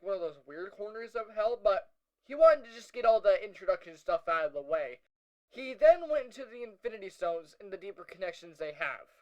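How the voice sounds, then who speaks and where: High-voiced, wry young hero played by a man. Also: low-quality recording. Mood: explanatory, hesitant. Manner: hesitant, casual, dryly wry asides. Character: young male hero recounting a meeting with Lucifer, wry, uneasy. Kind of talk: first-person audio log narration, conversational.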